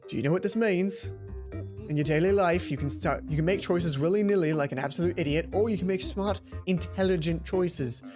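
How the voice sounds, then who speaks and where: A woman voicing an intense, bossy schoolboy gamer who lectures forcefully like a drill instructor in a visual novel. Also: on the low side, fairly quick, music in the background, lightly acted. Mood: intense lecturing. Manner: forceful, commanding, emphatic.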